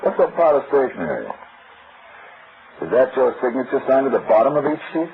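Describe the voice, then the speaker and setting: An actor playing a wry, skeptical private detective in an old-time radio drama, talking in blunt, sardonic slang. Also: male voice, medium pitch, big pitch swings, fairly quick. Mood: suspicious. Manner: slangy, sardonic, hard-boiled. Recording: muffled, noisy vintage radio recording.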